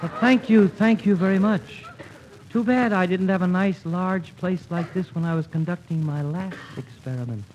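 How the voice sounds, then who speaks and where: Man acting polite eccentric scientist, high voice, precise, gently whimsical, old-time radio comedy, muffled old recording. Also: moderate pace, lightly acted. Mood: polite, pleased. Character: mild, polite, quirky scientist professor. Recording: dull old radio recording, faint noise.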